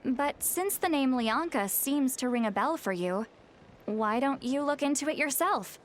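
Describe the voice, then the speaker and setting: Poised scholarly game character, higher-pitched. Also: female voice, lively intonation, a little hiss or hum. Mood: thoughtful. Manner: measured, articulate, precise. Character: poised, scholarly young woman, calm and secretive. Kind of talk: video game dialogue, expository.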